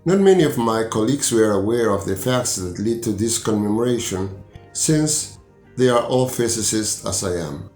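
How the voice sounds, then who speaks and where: A middle-aged man from Argentina, a physics professor with an Argentine Spanish accent, speaking English as a second language in an earnest, measured personal narration. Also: on the low side, expressive. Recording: echoey room with soft music.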